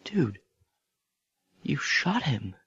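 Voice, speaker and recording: A man's voice: medium pitch, very animated, drawn-out words, sharp tone, breathy edge, no room sound, highs cut off, full character voice. A man playing an appalled, questioning young subordinate in a horror audio drama. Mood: shocked.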